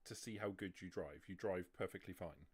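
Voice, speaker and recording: A man's voice: low-pitched, normal speed, slightly rough. A man explaining things casually during a tabletop roleplaying game. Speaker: participant in a tabletop roleplaying stream.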